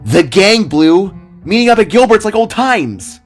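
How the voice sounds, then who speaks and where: A man acts out a giddy young wife for an audio drama, pitched high and swooping with urgency. She is bossy yet affectionate, squealing and exclaiming. Music plays behind the voice. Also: very animated, unhurried. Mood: excited. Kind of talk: audio drama character acting.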